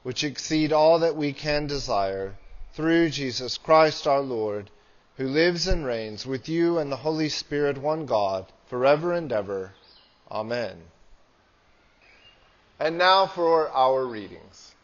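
A man in his thirties, a priest reading prayers and scripture in a solemn, measured liturgical style. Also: mid-range voice, animated, dull audio. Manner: solemn, measured, deliberate phrasing. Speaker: priest leading a church service. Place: small church with hard walls.

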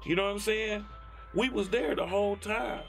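A middle-aged man with a notably high voice gives a candid interview. He speaks emphatically with casual swearing, and faint music plays behind him. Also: moderate pace, gravelly, fairly bright tone. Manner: emphatic, profane, tags phrases with "you know what I'm saying". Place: small foam-padded recording studio.

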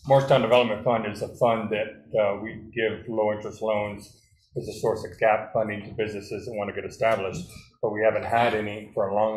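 Man chairing a town meeting. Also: lowish voice. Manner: friendly, rambling, with restarts. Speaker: board chair. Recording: echoey meeting room.

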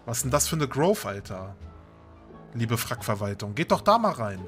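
A man's voice: mid-range voice, big pitch swings, normal speed, over music. A man in casual in-game roleplay chat, grumbling in slang. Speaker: online roleplay gamer.

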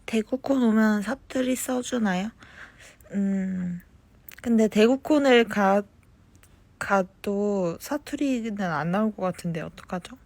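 Slow, soft-spoken young woman. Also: medium pitch, gravelly, no room sound. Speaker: idol livestreaming to fans. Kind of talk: casual chat reading fan comments. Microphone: phone.

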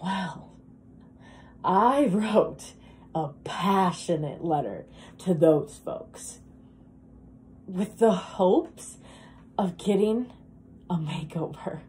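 A woman performing a dramatic monologue as a wistful, self-deprecating woman who longs to be beautiful, speaking in a halting, confessional, emphatic way. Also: fairly low pitch, expressive, warm tone, a little hiss or hum. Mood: earnest, frustrated.

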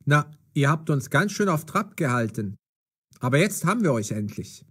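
An actor playing a police detective in an audio drama, commanding and mocking but fair. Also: male voice, fairly high pitch, very animated, normal speed, a bit bright, breathy edge, heavily acted. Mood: mocking, bossy. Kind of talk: audio drama for language learners.

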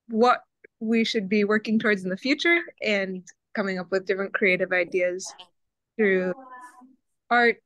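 A woman's voice: mid-range voice, fairly bright tone, dry recording, low-quality recording. A woman organizing a group, chatting cheerfully on a video call and laughing easily. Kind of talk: casual chat on video call.

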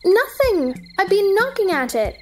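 A woman's voice: very high voice, very animated, a little slow, faint music behind, heavily acted. A woman playing a polite, puzzled, inquisitive young girl in a storybook play, earnest and questioning. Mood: puzzled, exasperated.

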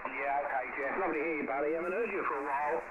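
A man's voice: on the high side, very fast, phone-quality sound. A man with an English accent chatting in a matey, casual way as an amateur operator over shortwave radio. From England. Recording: very noisy shortwave radio signal.

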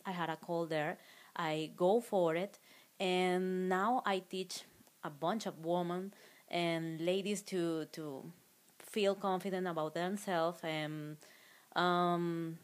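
A woman in her thirties, native Spanish speaker with a Spanish accent, answering interview questions earnestly with some pauses, on a handheld mic in a small office. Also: lowish voice. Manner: earnest, halting. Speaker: guest parent. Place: small school office, indoors.

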